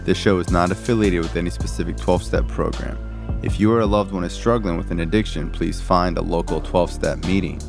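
A man in his thirties hosting a podcast, chatty and relaxed, often humming agreement while his guest talks. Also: low-pitched, a little fast, gravelly, over music. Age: 30s. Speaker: podcast host. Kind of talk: casual podcast interview.

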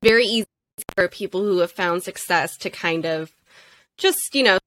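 A woman's voice: lowish voice, moderate pace, a bit bright, dry recording, poor audio. A woman hosting an interview, asking questions and offering short encouraging responses. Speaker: podcast host.

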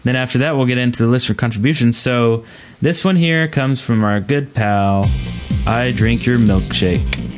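A man's voice: mid-range voice, moderate pace, music in the background. Casual male podcast host.

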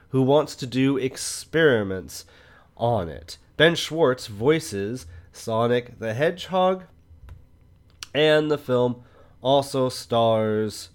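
A man presenting a film review podcast in a measured, explanatory way, partly from notes. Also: medium pitch. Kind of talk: semi-scripted podcast presenting.

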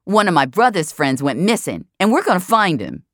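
A woman puts on a bratty young punk boy for a sci-fi audio drama. He is defensive and slangy, lifted in pitch with an animated swing, recorded dry and close. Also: fairly quick, dry recording. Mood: defiant, bored. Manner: slangy, sweary, defiant.

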